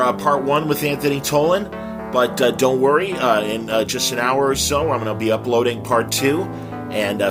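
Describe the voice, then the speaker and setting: A man hosting a podcast, speaking casually and unscripted straight to listeners in a friendly, rambling way. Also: medium pitch. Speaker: podcast host. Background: guitar music.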